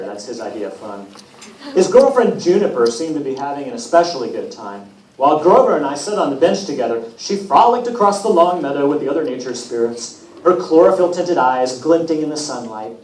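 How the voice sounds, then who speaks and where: A man reading aloud as a wry, put-upon teenage boy demigod, telling his own story with self-deprecating, deadpan humour. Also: fairly high pitch. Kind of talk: first-person storybook reading. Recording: echoey room from far off, hissy noise.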